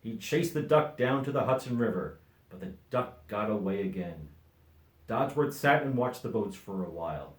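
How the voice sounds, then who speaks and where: A middle-aged man reading a children's storybook aloud as an expressive narrator. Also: lowish voice, moderate pace, roomy sound. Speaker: volunteer story reader.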